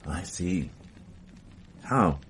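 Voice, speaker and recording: An actor playing a shrewd, wry police detective in a radio drama who questions people in a dry, probing way. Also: male voice, deep voice, animated, drawn-out words, a bit bright, husky, full character voice.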